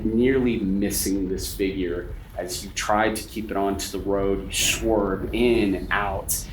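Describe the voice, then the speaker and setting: A man acting as game master, narrating a tabletop horror game to players. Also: lowish voice. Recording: echoey room, faint noise.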